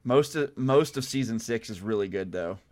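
A man chatting casually as a podcast guest at a film watch party, reacting with warm enthusiasm. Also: fairly low pitch, expressive, normal speed.

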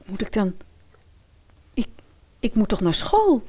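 A woman's voice: medium pitch, sing-song, slow pace, mellow. A woman playing an anxious, naive schoolgirl in a modern fairy-tale radio play. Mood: puzzled.

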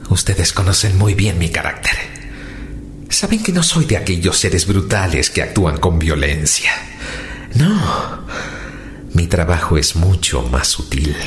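A male actor playing a brooding, tormented man confessing dark deeds in a radio drama, deliberate and intense. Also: deep voice, slight background noise. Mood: bitter, vengeful.

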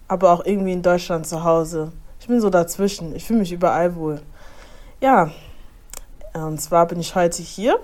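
A woman's voice: fairly low pitch, darkish tone. A woman from Germany, a guest chatting casually on a podcast and mixing in English phrases. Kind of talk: casual chat.

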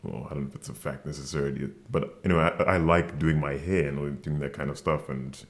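A young man with a deep voice, co-hosting a casual podcast chat and teasing his co-host. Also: steady tone, fast. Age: young adult. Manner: teasing, jokey.

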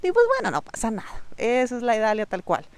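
A woman's voice: fairly high pitch, wildly swooping pitch, quick pace. A Mexican woman hosting her own podcast, talking straight to the listener in a direct, coaching way and repeating key points for emphasis. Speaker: podcast host. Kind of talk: solo podcast monologue on online business.

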